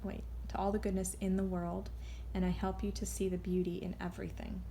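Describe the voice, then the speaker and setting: A woman speaks as a card reader in a loose, unscripted way. Her voice is mid-pitched with a lot of creaky fry. She halts, restarts and wanders into side tangents, with faint noise behind her. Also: level tone, dark tone. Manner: rambling, halting, goes off on tangents. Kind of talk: casual, unscripted intuitive card reading.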